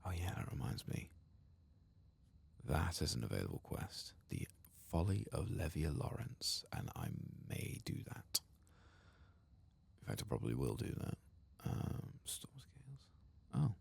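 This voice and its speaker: Very deep, creaky, soft-spoken ASMR man. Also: huge pitch swings. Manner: unhurried, hushed, often slipping into breathy whisper. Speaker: host of a gaming ASMR channel. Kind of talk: soft-spoken and whispered ASMR game playthrough. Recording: faint background noise.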